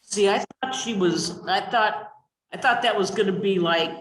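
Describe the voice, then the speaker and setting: A man's voice: high voice, moderate pace, fairly bright tone, reverberant, rough recording. A man taking part in a writing workshop on a video call, asking questions in a self-deprecating way. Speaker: workshop participant. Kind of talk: casual discussion on a video call.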